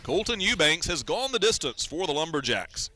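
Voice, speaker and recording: Male, American accent, baseball play-by-play, radio commentary, higher-pitched, very bright forceful tone, measured descriptive delivery. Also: very animated. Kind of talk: live sports radio commentary.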